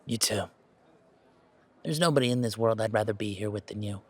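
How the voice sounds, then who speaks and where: A man's voice: on the low side, a little fast, darkish tone, natural voice. A man playing an affectionate, anxious young gamer in a conversational audio roleplay, talking in a hesitant, rambling way. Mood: fond, rambling. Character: affectionate, anxious, self-deprecating young gamer, wary of family.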